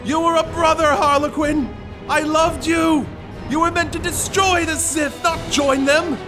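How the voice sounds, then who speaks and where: A man's voice: very high-pitched, level tone, unhurried, over music. A man playing a swaggering comic knight and rival lover, declaiming in mock-heroic farce style for an audio play. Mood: melodramatic.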